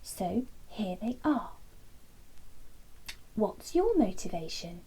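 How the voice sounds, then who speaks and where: A woman reading a children's bedtime story aloud in a lively, expressive way, dropping to soft whispers for asides. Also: medium pitch, slow pace, husky, poor audio.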